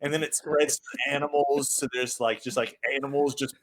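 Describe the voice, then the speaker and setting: A man's voice: mid-range voice, level tone, normal speed, a bit bright, low-quality recording. A man co-hosting a podcast, rambling through crude jokes. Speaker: podcast co-host. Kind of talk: casual chat.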